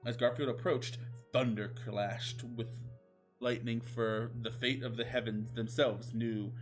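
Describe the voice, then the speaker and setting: Man narrating comic fan fiction, medium pitch. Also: little pitch movement, unhurried, faint music behind. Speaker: host reading fan fiction. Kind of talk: comic dramatic story narration with casual asides.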